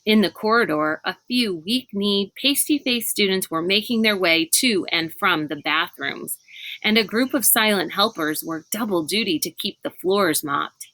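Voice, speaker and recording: A woman's voice: medium pitch, moderate pace, bright, forceful tone, no echo, rough recording. A woman reading a children's novel aloud as scripted narration. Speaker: storybook reader.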